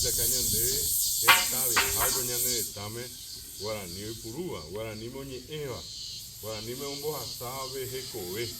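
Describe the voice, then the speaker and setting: A man with a Paraguayan accent giving a speech at a graduation ceremony, halting and full of fillers. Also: lowish voice, very noisy. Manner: halting, many fillers and restarts. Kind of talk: public address. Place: ceremony venue. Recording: distant mic with loud music behind.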